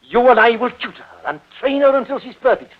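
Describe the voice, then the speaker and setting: A man's voice: very high-pitched, expressive, quick pace. A man playing a domineering, stagey middle-aged father in an old radio drama, declaiming with precise diction. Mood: insistent. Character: domineering, theatrical middle-aged father training his actress daughter. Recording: tinny, band-limited old radio recording.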